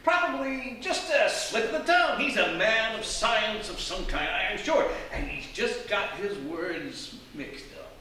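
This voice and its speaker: An actor playing an earnest, reassuring young boyfriend, slightly hesitant, in a live radio horror spoof. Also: male voice, high-pitched, animated, measured pace, hardly any pauses, pushed and bright, heavily acted. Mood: nervously reassuring. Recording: echoey theatre, distant mic, faint noise.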